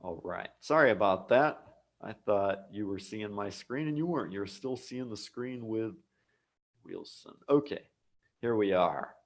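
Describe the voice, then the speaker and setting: A man's voice: lowish voice, expressive, a little slow. An American man teaching a live English lesson online, speaking patiently and repeating key words for learners. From United States. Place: home office abroad.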